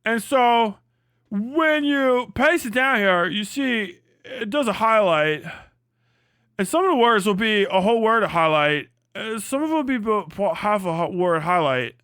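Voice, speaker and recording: A man putting on a dim-witted comedy-movie simpleton who explains things earnestly, halting and repeating himself. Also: high-pitched, lively intonation, fairly bright tone, clear, resonant tone, no room sound, clean studio sound.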